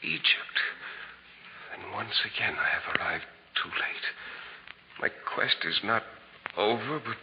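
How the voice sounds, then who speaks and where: An actor in a 1940s radio drama playing a devout, compassionate Persian Magian with solemn, formal archaic speech. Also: male voice, fairly low pitch, slow pace, long pauses. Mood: weary, resolved. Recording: muffled, noisy 1940s radio recording.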